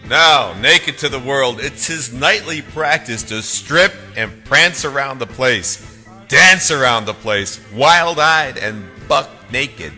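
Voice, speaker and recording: A man reading his own novel as a theatrical, emphatic audiobook narrator, with dramatic pauses. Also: medium pitch, lively intonation, sharp tone. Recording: long reverb, faint music behind.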